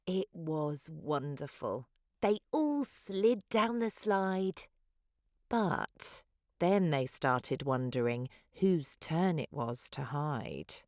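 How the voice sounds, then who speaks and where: A woman's voice: sits low, no room sound, studio quality. A woman reading a children's picture book aloud in a dramatic, sing-song rhyming delivery. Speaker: children's story reader.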